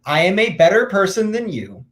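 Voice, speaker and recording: A twenty-year-old man co-hosting a casual Q&A, teasing his friend in a deadpan, mock-serious way. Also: high-pitched, normal speed. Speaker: co-host and regular guest. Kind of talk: casual chat.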